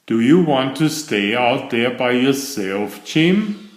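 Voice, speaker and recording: A man's voice: mid-range voice, lively intonation, slow pace, echoey room. A man voicing a friendly, coaxing monkey pal in a children's storybook, with a persuasive manner.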